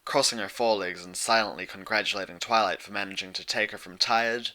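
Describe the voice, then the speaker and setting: A man reading fiction aloud as an expressive scripted story narrator. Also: on the low side, fast.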